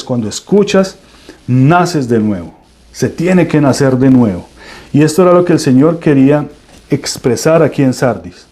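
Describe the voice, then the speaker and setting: Colombian male preacher, mellow warm tone, emphatic sermon delivery with restarts. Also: a little high. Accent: Colombian Spanish.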